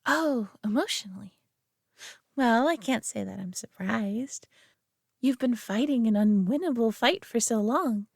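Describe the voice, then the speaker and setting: A woman acting as an alien mistress with a high, feminine voice, recorded close and dry. She dotes possessively on her pet human, coddling and gushing with gentle condescension. It is intimate roleplay audio drama. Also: expressive, unhurried, gravelly, no room sound, heavily acted. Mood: soothing, possessive.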